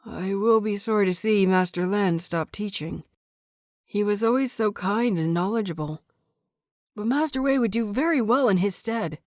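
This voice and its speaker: A woman's voice: mid-range voice, normal speed, dry recording, studio quality, natural voice. Woman voicing an earnest, polite young male disciple. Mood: wistful. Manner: polite, formal, sincere.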